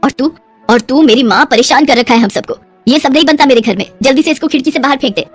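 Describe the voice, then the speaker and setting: A woman's voice: high-pitched, fast talker, husky, faint music behind. A woman voicing an exasperated middle-aged mother-in-law in a story, scolding and lamenting dramatically.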